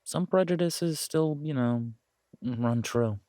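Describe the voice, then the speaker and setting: A man's voice: fairly high pitch, slow, mellow, no room sound, studio quality. A man playing an easygoing, affectionate werewolf biker in an intimate audio drama, talking casually and hesitantly to a partner. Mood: sheepish, affectionate. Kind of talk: intimate roleplay audio drama, one-sided conversation.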